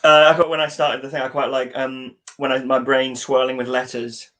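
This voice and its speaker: A man chats casually as a member of a workshop. His mid-pitched voice stays very even, with little rise or fall. He feels for his words a little as he goes. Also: normal speed. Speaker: workshop participant. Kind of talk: casual chat.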